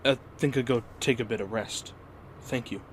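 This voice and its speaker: A man acting a troubled young man, halting and uncertain, in a fan radio drama. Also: mid-range voice, a little hiss or hum, close to an everyday voice. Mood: hesitant, subdued. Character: hesitant, troubled young man carrying a burden.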